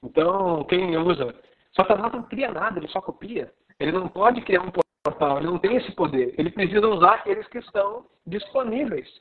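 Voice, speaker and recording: High-voiced Gaúcho man on a tinny call. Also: fairly quick, breathy edge. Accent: Gaúcho Brazilian Portuguese. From Rio Grande do Sul, Brazil. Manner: excited, animated teaching. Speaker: pastor. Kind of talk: live video call conversation. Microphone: video call. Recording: tinny phone-like line.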